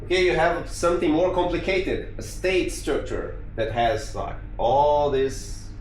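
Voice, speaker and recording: A man's voice: fairly high pitch, a little slow. A man from Brazil with a Brazilian Portuguese accent, giving a technical conference talk in a conversational way, with restarts and fillers. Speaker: conference speaker. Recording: echoey room with faint noise.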